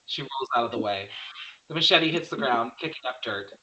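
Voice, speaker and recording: A man's voice: mid-range voice, expressive, a little fast, pushed and bright, low-quality recording. A man narrating stage directions from a script at a virtual table read.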